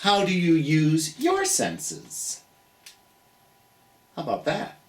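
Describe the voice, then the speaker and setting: Storytime host reading to kids, super swoopy expressive voice, takes it slow, kinda echoey room. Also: medium pitch. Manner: expressive, encouraging, asks questions of young listeners. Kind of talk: animated read-aloud storytime for children. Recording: roomy, echoey sound.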